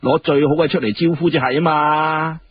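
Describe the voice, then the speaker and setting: A man's voice: fairly high pitch, steady tone, normal speed, breathy edge, dry recording, highs cut off, pitched above the performer's own voice. A man voicing a brash, boastful younger nobleman in a Cantonese story, exclamatory and slangy.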